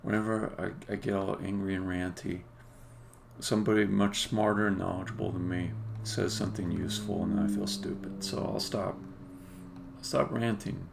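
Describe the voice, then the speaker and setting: Man, lowish pitch, heavy vocal fry, halting casual delivery, live-coding stream commentary. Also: little pitch movement, noisy background. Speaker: game developer live-streaming a coding session.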